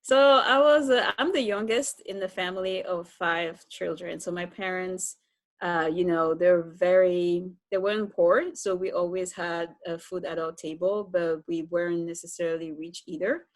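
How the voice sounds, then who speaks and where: Lower-pitched woman with a Cameroonian accent. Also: fairly even intonation, normal speed, no echo. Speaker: interview guest. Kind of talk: conversational personal storytelling.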